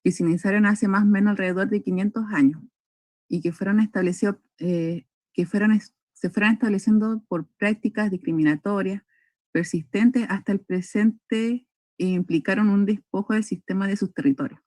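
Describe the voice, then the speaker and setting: Dry, measured young woman speaker. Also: medium pitch, fairly even intonation, darkish tone, dry recording. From northern Chile. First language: Aymara. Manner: measured, with frequent hesitations and restarts. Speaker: invited speaker at a cultural forum. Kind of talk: formal talk.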